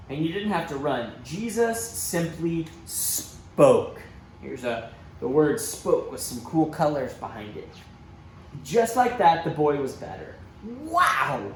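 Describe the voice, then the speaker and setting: Very animated man reading a children's story. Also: fairly high pitch. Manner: expressive, dramatic emphasis with asides to young listeners. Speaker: church children's storybook reader. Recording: roomy echo, faint background noise.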